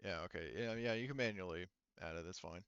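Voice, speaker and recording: A man's voice: low-pitched, fast, fairly bright tone, clean tone, no echo, lo-fi sound. A man acting as game master, refereeing a tabletop game casually and thinking aloud as he goes.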